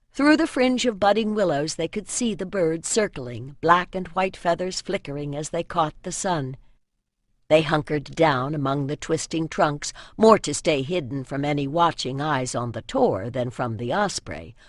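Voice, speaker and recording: A woman reading scripted fantasy narration as an audiobook narrator, with measured storytelling. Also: low-pitched, dry recording, clean studio sound.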